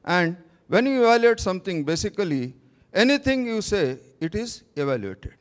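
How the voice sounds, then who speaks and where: Man, higher voice, halting informal speaker, lots of restarts. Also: sing-song. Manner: halting, with restarts and cut-off words. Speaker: speaker at a training meet. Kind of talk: informal talk to an audience.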